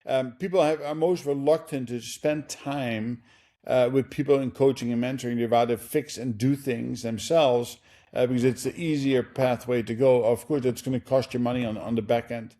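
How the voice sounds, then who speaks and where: A man with a Dutch accent hosts a business interview podcast. His voice is mellow and relaxed, and he speaks in a halting way, stacking fillers and restarts as he works toward his questions. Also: mid-range voice. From Netherlands. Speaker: podcast host.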